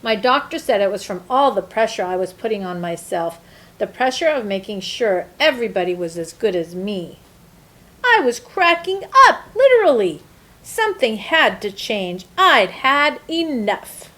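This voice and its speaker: A woman voicing an earnest, eager-to-please good egg in an expressive, emphatic children's storybook telling. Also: medium pitch, normal speed. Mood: strained. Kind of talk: first-person children's storybook narration.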